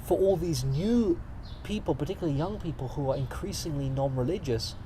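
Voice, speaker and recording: A man's voice: fairly high pitch, lively intonation, a little fast, mellow, a little hiss or hum. A young British man, articulate, enthusiastic and wry, talking as an interview guest in a studio. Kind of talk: casual interview conversation. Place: studio in front of cameras.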